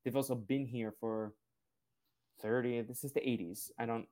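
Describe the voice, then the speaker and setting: A man in his twenties hosts a podcast in easygoing conversation. His first language is Portuguese. He speaks at a middle pitch and rambles loosely through his points. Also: moderate pace. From Brazil. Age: 20s. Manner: relaxed, rambling, many fillers. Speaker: podcast host. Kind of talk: casual interview chat.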